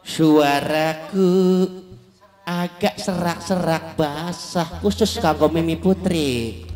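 High-voiced comedian man on stage. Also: slow, a little mellow. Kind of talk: live comic stage banter with bits of singing. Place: outdoor village party stage. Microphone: handheld microphone. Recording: live stage PA with music behind.

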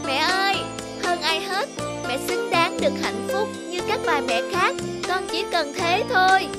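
A woman voicing a devoted, thoughtful young schoolboy in an animated drama, polite, earnest and imploring. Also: very high-pitched, measured pace, loud music behind the voice. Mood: pleading. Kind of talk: animated moral drama acting.

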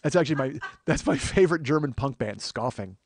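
A man's voice: medium pitch, expressive, brisk, a little hiss or hum. A middle-aged man hosting a comedy podcast, rambling casually and profanely, interrupting himself with digressions and getting worked up. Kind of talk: rambling comic monologue, casual chat.

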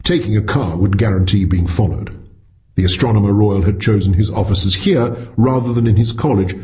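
A man's voice: fairly low pitch, normal speed, mellow. A man narrating a science fiction short story as a scripted audiobook.

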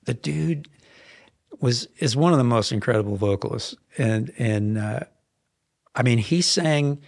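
A man in his fifties, a songwriter guest in a podcast studio, telling stories in a rambling, animated way with frequent restarts. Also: mid-range voice, measured pace, gravelly, no room sound. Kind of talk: casual interview storytelling. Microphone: headphones and boom mic.

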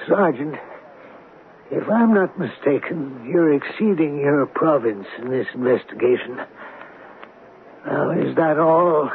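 A man's voice: on the high side, slow pace, vocal fry, pauses often. A man acting a flustered, evasive company president in a 1970s radio drama, hesitant and defensive. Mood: testy. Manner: hesitant, anxious, defensive businessman. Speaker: actor in a radio mystery drama. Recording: tinny, noisy old radio recording.

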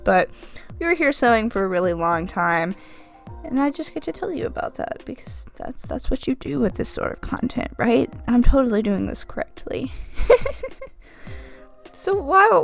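A woman's voice: medium pitch, creaky. A young woman with the sniffles giving a rambling, self-deprecating voice-over for her craft video. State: stuffy nose from the sniffles. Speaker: craft vlogger. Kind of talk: casual voice-over narration. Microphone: desk microphone, voice-over.

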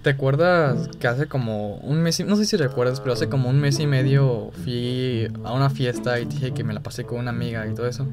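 A young man with a Mexican accent speaks in a casual, halting way, as if embarrassed to get to the point. Music plays clearly behind his voice. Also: medium pitch. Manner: hesitant, halting, feigning embarrassment. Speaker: gaming video creator making a prank call. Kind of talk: casual phone chat.

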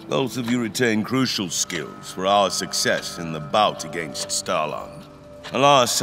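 A man playing a forceful, solemn fantasy king. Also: lowish voice. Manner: formal, deliberate, declamatory. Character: solemn, deliberating fantasy king, formal and grandiose. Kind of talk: theatrical audio drama acting.

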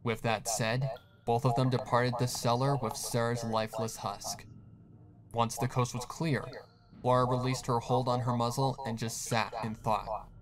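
A man's voice: mid-range voice, little pitch movement, unhurried, music in the background. A man narrating a scripted horror story dramatically.

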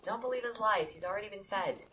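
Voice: mid-range voice, fast, pushed and bright, slight background noise, natural voice. An actor playing a practical, scatterbrained young housemate in a casual audio drama, teasing and sarcastic.

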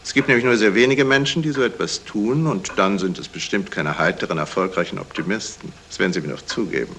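An actor playing a polite, shrewd detective in a radio crime play, talking in a measured way with small hesitations. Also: male voice, lowish voice, fairly bright tone. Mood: knowing. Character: courteous, shrewd male detective explaining a murder. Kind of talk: 1970s German radio crime play acting. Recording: noisy vintage radio play recording.

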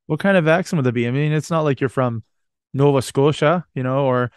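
A man's voice: medium pitch, brisk, smooth, no room sound, studio quality. Joking man, casual podcast chat. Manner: joking, profane, rambling. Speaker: podcast host.

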